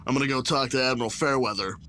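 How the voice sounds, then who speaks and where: Man as a decisive mid-pitched ship's captain. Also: racing, bright, forceful tone, lightly acted. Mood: eager, decisive. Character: decisive, eager male warship captain. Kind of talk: audio drama acting.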